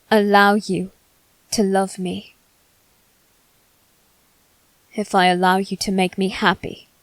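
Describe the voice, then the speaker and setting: A haughty, brooding young aristocrat, voiced by a woman in an ASMR roleplay drama. The delivery is slow and formal, with weighty pauses between phrases. The sound is dry and close, with no room around it. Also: medium pitch, no room sound. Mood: brooding, confessional. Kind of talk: dramatic ASMR roleplay monologue addressed to listener.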